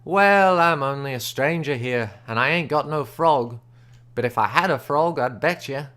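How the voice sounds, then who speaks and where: A man voicing a sly stranger who speaks slowly and deliberately in backwoods grammar. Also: fairly high pitch, fairly even intonation, a bit bright, smooth, lightly acted.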